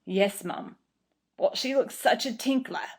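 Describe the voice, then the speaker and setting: A woman's voice: on the high side, unhurried, breathy edge. A woman voicing a respectful male footman in a storybook reading, plain and deferential.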